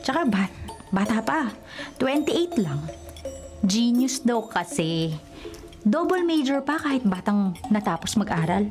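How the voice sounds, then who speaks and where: A young woman who is the gossipy best friend, high and very lively in pitch. She is sassy, slangy and teasing, in radio romcom style with music behind her. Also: unhurried, pauses often. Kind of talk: Filipino radio romantic comedy acting.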